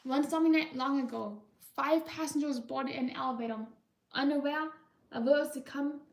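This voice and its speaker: An ominous spooky ride announcer, recited by a woman in a slow, solemn way, with a high voice. The sound is roomy and echoey, picked up from a mic some distance away. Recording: roomy echo, mic far off.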